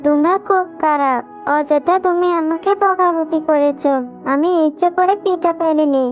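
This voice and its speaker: A woman plays a sensitive little cartoon girl with a very high, childlike voice and a whining, pleading way of talking. The sound is slightly dull, with children's music behind. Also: steady tone, moderate pace, close to an everyday voice. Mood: hurt, indignant.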